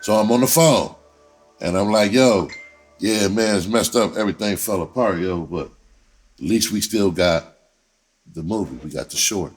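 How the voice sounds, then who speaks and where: A man's voice: fairly low pitch, moderate pace, pauses often, slightly rough. A man telling a personal story in casual, profane slang, repeating himself and acting out conversations as he goes. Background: music under the voice.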